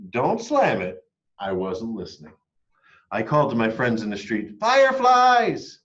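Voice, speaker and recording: Man, fairly low voice, expressive children's storytelling, hushed and emphatic phrasing, slightly dull lo-fi recording. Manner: dramatic, hushed and emphatic by turns. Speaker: read-aloud storyteller. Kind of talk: expressive picture-book reading for children.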